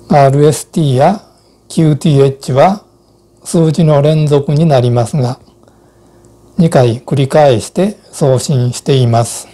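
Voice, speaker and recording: Older man with a very dark, mellow voice. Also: fairly high pitch. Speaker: co-host of an amateur radio Morse code channel. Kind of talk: scripted personal narration and instruction.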